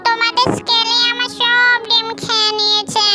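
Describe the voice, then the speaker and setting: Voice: very high voice, flat delivery, moderate pace. A performer voicing a greedy old female demon witch who grumbles and threatens in a children's cartoon. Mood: indignant. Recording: children's music behind voice.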